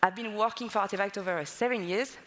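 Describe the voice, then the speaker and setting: French-accented woman in her 30s, stage presenter, mid-pitched, boomy hall sound. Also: fast, a bit bright. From France. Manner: fluent but slightly non-native phrasing, many "uh" fillers. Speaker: conference speaker. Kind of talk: conference presentation. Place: large conference hall on stage.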